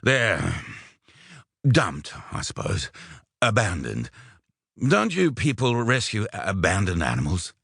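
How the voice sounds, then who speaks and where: A man voicing a rough, hulking drinker in an audiobook, gruff, awkward and hesitant. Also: lowish voice, huge pitch swings, slow, long pauses, a bit bright, dry recording, studio quality, voice pushed up, heavily acted. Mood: awkward, pleading. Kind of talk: audiobook character voice.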